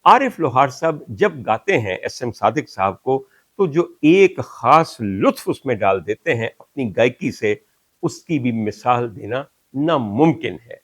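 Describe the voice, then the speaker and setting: Older Pakistani male host, dry close sound, declaims poetry with slow emphasis. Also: medium pitch, sing-song, slightly rough, no room sound. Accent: Pakistani Urdu. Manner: declaiming Punjabi verses slowly and emphatically. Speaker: talk show host. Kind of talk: reflective monologue.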